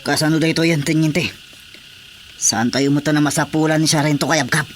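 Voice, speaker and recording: A man's voice: on the high side, fairly even intonation, brisk, music in the background, natural voice. A man with an Ilocano accent playing a worried army corporal in a radio drama. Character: worried army corporal reporting to his lieutenant.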